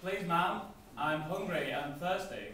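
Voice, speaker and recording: Heard from far off in a very echoey room, a man acts out an eager boy adventurer in a comic puppet show. The boy is cheeky and exclaims in Australian slang, in a high voice for a male. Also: a little slow, pushed and bright. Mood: pleading.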